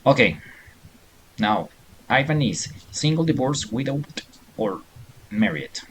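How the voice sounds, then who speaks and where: Slow, patient male English teacher. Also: mid-range voice, very animated, slight background noise. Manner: patient, encouraging, reads answers aloud. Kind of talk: online class instruction.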